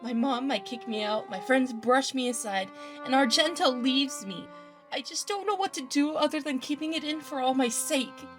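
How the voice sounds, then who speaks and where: A woman's voice: high voice, moderate pace, faint music behind, natural voice. A woman playing a troubled, fearful teenage student in an audio story, with a distressed, emotional delivery. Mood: upset, defensive. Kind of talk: dramatic fan fiction audio reading.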